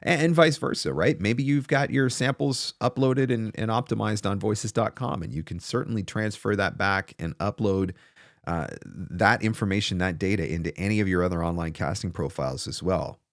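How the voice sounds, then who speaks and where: Low-voiced man, dry close studio mic. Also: moderate pace, no echo. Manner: emphatic, rhetorical questions, repeats key phrases for stress. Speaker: host, voice actor coach. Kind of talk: casual livestream Q&A advice. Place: small home studio, indoors. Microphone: close desk broadcast microphone.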